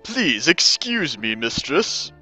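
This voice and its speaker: A man's voice: mid-range voice, big pitch swings, slow, sharp tone, faint music behind, exaggerated voice, low-quality recording. A man voicing a polite young servant girl who speaks deferentially to her mistress in a dramatic story reading. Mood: apologetic. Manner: deferential, exclaiming.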